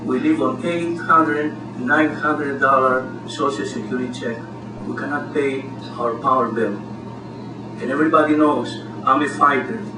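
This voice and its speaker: A man's voice: medium pitch, fairly even intonation, normal speed. A man with a Greek accent giving an earnest, impassioned campaign speech at a community meeting. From Greece. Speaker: candidate speaking at a community meeting. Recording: distant roomy hall recording with noise.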